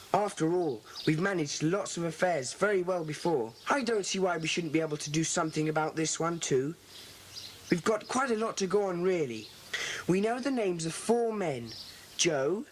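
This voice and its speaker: An actor playing a solemn, commanding schoolboy who leads a secret club in a children's audio drama, with an earnest, deliberate delivery. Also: mid-range voice, lightly acted. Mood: thoughtful. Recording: faintly noisy 1980s cassette.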